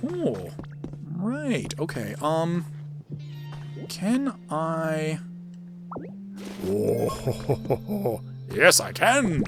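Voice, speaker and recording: A man giving casual, rambling gameplay commentary, thinking out loud as he plays. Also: fairly high pitch, wildly swooping pitch, very slow, long pauses, over music. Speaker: gaming video host and amateur voice actor. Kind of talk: casual gameplay commentary with read-aloud game text.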